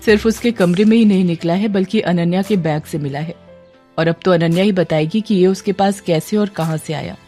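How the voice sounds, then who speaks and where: A woman's voice: on the low side, brisk, warm tone, faint music behind, lightly acted. A woman voicing a determined, suspicious young woman in an audio horror drama, pointed and accusing but controlled. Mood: calm, pointed.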